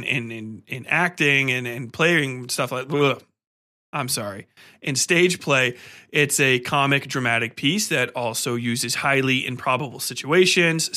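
A man hosting a podcast, giving casual, rambling film commentary in an excitable, emphatic, sweary way. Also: medium pitch. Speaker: podcast host.

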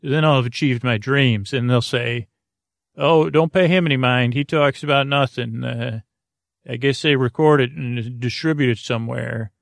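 A man hosting a bedtime podcast in a meandering, halting monologue, repeating himself and trailing off in a soothing, low-pressure way. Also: creaky, no room sound, studio quality. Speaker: podcast host.

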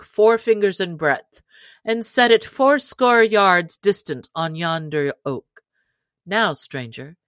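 A woman's voice: medium pitch, a bit bright, no echo, clean studio sound. A woman voicing a jolly, bold male outlaw leader who speaks in hearty, commanding old-fashioned speech.

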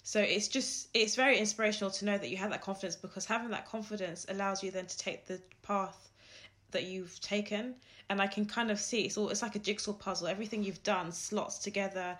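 A woman's voice: mid-range voice, fairly even intonation, a little fast, a bit bright. Earnest woman co-host, encouraging. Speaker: podcast co-host. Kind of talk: warm reflective conversation.

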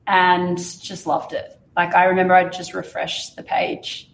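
A young adult Australian woman with a croaky, hoarse voice, chatting as an interview guest in a self-deprecating way and laughing often. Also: on the low side, completely flat delivery, measured pace, roomy sound. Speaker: fund manager guest. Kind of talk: casual interview chat.

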